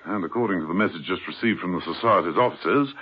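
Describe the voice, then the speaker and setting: An actor playing a suave, jaunty male gentleman spy who talks in a breezy, wry way, in a 1970s radio drama. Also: low voice, fast talker, breathy edge. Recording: tinny old radio broadcast.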